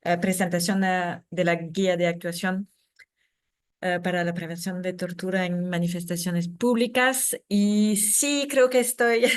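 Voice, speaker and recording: A woman speaking Spanish as a second language, giving a conference talk over a video call, with many fillers and restarts. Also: medium pitch. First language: not Spanish. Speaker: secretary general of a human rights NGO.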